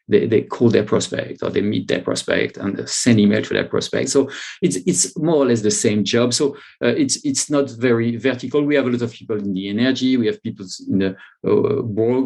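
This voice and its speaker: French-accented man, halting interview talk. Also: mid-range voice, creaky, mellow. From France. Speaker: interview guest, startup CEO. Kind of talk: conversational interview answers.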